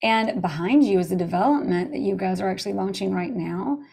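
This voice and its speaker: A woman's voice: mid-range voice, a little fast, vocal fry, a little mellow, husky. A woman hosting a podcast interview, asking chatty, enthusiastic questions over a video call. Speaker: podcast host. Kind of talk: casual interview.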